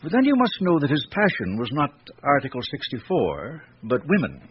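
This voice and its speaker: An actor playing an eccentric, courteous middle-aged gentleman in a radio drama, speaking with theatrical precision. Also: on the high side, wildly swooping pitch, moderate pace. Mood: wry, frank. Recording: dull, noisy old radio recording.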